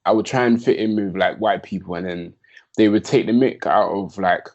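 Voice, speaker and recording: Laid-back young man, low voice. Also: moderate pace, darkish tone, highs cut off. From London, England. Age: young adult. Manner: laid-back, slangy, laughs often. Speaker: podcast guest. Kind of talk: casual chat.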